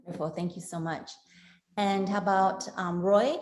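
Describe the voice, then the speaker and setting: A woman's voice: medium pitch, steady tone, a little mellow. Woman hosting a video call panel, roomy sound. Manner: friendly, halting with many fillers. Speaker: panel moderator.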